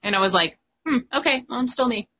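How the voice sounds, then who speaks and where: Woman, 30s, bright husky voice, giggly and animated, casual guest storyteller. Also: a little high, quick pace. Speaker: guest writer. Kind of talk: casual chat.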